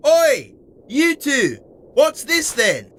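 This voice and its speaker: A small, naive robot adventurer in an audio drama, acted by a man, excitable and guileless. The voice is high and very animated yet takes its time, set over music. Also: very slow, heavily acted. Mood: curious. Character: naive, chirpy, eager little male robot adventurer.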